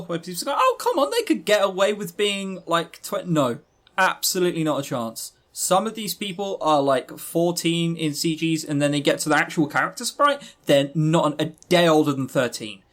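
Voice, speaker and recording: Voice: mid-range voice, big pitch swings, moderate pace, fairly bright tone. A podcast co-host chatting casually about games in an exasperated, emphatic, sweary way. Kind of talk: casual visual novel review chat.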